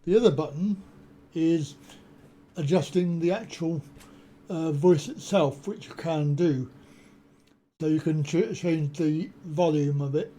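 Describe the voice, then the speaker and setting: A man walks through a piece of software at a slow, deliberate pace, pausing often on ums and uhs. His voice is deep and mellow, a little higher-pitched than most men. A faint background hiss sits under the desk-mic recording. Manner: unhurried, explanatory, many ums and uhs. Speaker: software tutorial presenter. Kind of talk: screen-recorded software walkthrough. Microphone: desk USB microphone.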